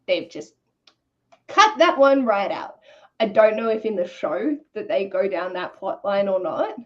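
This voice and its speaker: A woman's voice: medium pitch, sing-song, unhurried. A woman with a mid-range voice talks casually as a co-host in an online book chat. Her manner is candid and slangy. The audio is slightly dull and lo-fi, like a video call.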